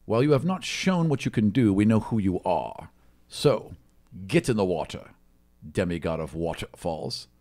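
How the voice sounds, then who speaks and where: A man's voice: lowish voice, very animated, moderate pace, darkish tone. A man voicing a stern, formal archon who instructs demigods in a tabletop game. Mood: solemn coaching. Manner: commanding, formal.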